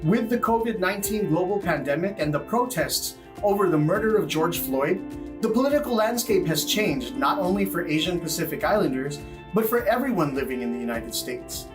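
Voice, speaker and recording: A man's voice: high-pitched, lively intonation, a little fast. Sincere man in a distant self-recorded clip. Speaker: community member in a self-recorded video. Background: music.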